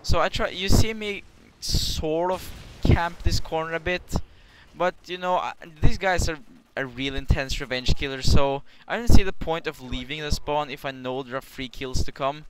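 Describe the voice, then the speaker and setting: A man's voice: a little high, normal speed, hardly any pauses. A young man with a Norwegian accent giving casual, rambling commentary over his gameplay, swearing now and then. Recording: clear background hiss.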